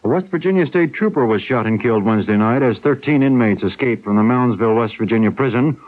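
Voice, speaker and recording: A man's voice: medium pitch, quick pace. An American man anchoring radio news from a script. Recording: lo-fi 1970s radio broadcast.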